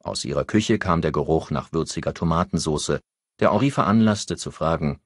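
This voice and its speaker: A man's voice: very deep voice, lively intonation, normal speed, no room sound, studio quality. A man narrating a novel as a scripted audiobook.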